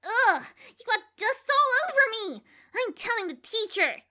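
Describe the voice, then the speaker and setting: A woman's voice: very high voice, big pitch swings, a little slow, bright, forceful tone, exaggerated voice. A woman voicing a spoiled filly school bully in a cartoon story, whiny and haughty. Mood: indignant. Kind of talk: exaggerated cartoon character acting.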